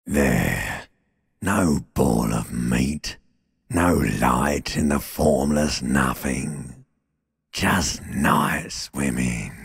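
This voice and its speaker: A man voicing an ancient reptilian brain in a video game, a seductive, menacing, world-weary inner voice with a guttural rasp and sardonic crooning. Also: rumbling low, heavily acted. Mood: brooding, sinister. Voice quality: gravelly, raspy, guttural croak. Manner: slow, drawn-out, mock-soothing, sardonic crooning. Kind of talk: theatrical video game voice acting.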